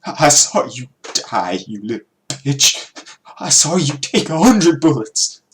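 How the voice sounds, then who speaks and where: A man's voice: a little high, big pitch swings, takes it slowly, a little hiss or hum. A man voicing a vicious, foul-mouthed gangster thug in a comic book dub, brash and boastful. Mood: disbelieving anger.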